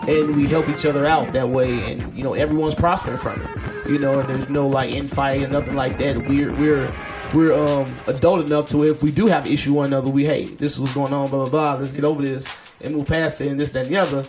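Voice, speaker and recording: A man's voice: mid-range voice, steady tone, fast talker, over music. A man co-hosting a casual studio talk show, laid-back and slangy, often saying "you know what I'm saying". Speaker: co-host.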